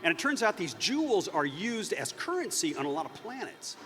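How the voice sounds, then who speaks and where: A man telling a story casually on a convention stage, in a halting, rambling way with many false starts, recorded in an echoey hall. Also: sits high, sing-song, sharp tone, soft background music. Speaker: panelist recounting unproduced TV episodes.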